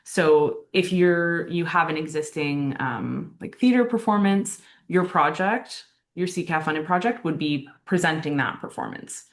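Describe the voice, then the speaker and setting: A woman's voice: low voice, expressive, unhurried. A woman on a video call answering applicants' questions about grant rules, hesitant with many ums and restarts but reassuring. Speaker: arts council grants staff host. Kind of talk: explaining answers in an online Q&A.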